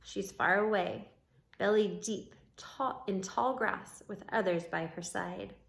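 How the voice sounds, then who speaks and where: Slow-paced woman reading a storybook aloud. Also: medium pitch, creaky. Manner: expressive, commenting to young listeners. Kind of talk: read-aloud storybook narration with asides. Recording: roomy, echoing room sound.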